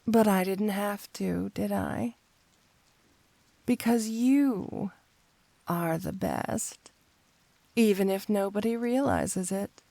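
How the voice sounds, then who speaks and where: A woman's voice: medium pitch, slow pace, creaky, pauses often, mellow, dry recording. A woman playing a flirty, smug supervillain cat burglar in an intimate ASMR roleplay, teasing and coaxing the listener with playful confidence. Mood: smug, admiring.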